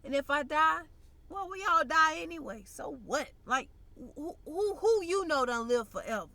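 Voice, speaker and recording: Congested, bright-voiced young woman vlogger. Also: sits high, animated, normal speed, no echo. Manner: blunt, conversational, uses slang. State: congested, nasal. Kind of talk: casual direct-to-camera chat.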